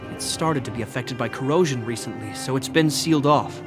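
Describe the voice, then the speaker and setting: An actor playing a polite, dutiful young military officer in a video game, earnest and formally courteous. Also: male voice, medium pitch, brisk, music in the background, close to an everyday voice.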